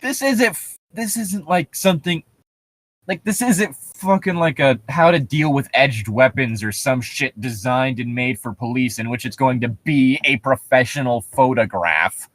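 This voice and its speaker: A man's voice: mid-range voice, a little slow, a bit bright. A man co-hosting a comedy podcast, casually riffing on horror stories in a profane, sarcastic, ranting way. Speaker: podcast co-host.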